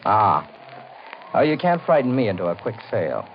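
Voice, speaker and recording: An actor playing a stubborn, uneasy husband, theatrical and emphatic in a 1950s radio mystery style. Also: male voice, lowish voice, sing-song, moderate pace, some chatter behind. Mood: indignant, confident. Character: stubborn, uneasy well-to-do husband buying a house. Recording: muffled, noisy 1950s radio recording.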